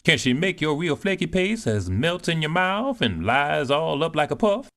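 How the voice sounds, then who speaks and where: A man playing a bossy older cook. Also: moderate pace. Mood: boastful. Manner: animated, scolding, swoops high. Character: proud older woman cook, bustling and boastful. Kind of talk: audiobook character reading.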